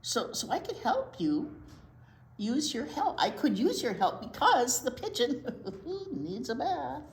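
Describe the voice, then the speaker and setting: A woman's voice: high-pitched, very animated, slow, a little mellow. A woman presenting storytime, chatting and reading aloud for young children. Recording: roomy echo, noticeable room noise.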